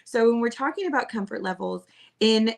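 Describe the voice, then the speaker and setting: Gravelly, bubbly woman podcast host. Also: on the high side, lively intonation, a little fast. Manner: laughs often, bubbly and teasing. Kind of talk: casual chat.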